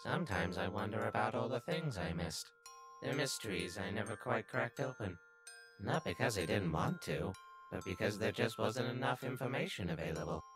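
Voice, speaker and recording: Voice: medium pitch, lively intonation, normal speed, soft background music, lightly acted. A performer voicing a sly, obsessively curious little talking flower in a comic dub, brooding and easily exasperated. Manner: brooding, scheming, bursting into exasperated outbursts. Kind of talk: comic dub character narration and dialogue.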